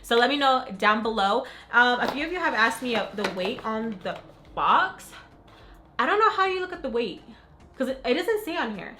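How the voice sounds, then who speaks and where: A young woman who is sick and raspy, chatting excitedly in a casual beauty unboxing. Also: fairly high pitch, moderate pace, slight background noise. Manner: gushing, upbeat, says "freaking" a lot. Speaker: beauty vlogger. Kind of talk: casual unboxing chat to camera.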